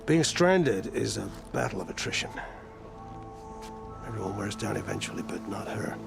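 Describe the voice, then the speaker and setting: A proud, worn-down older fleet commander in a cinematic game scene. His voice sits low with a heavy creak, and he speaks formally, with grave, wry weight. Music plays clearly behind him. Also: expressive, normal speed. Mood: grave, measured. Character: weary, proud older male admiral of a stranded fleet. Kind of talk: cinematic video game acting.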